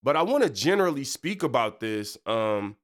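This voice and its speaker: A man's voice: mid-range voice, big pitch swings, normal speed. A young man, stuffed up from a cold, hosting a casual faith podcast in loose, slangy talk full of fillers and asides. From Michigan. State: congested. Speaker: podcast host and preacher.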